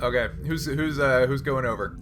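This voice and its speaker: A man's voice: medium pitch, moderate pace, fairly bright tone. A man acting as game master in a casual tabletop session, with an amused, easygoing manner. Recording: noticeable background noise.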